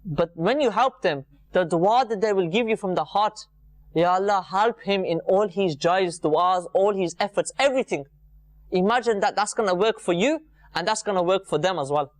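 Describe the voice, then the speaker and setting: A man's voice: high voice, moderate pace, dry recording. A man giving an informal, earnest religious talk to viewers, repeating phrases for emphasis. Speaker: religious programme presenter.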